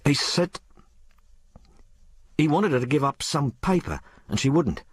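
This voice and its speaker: A man voicing a rough, frank working-class young woman in an audiobook, blurting out her tale in colloquial, ungrammatical speech. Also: a little high, big pitch swings, a little slow, no echo, clean studio sound, voice pushed up, heavily acted. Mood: frank, gossipy. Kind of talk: audiobook character dialogue.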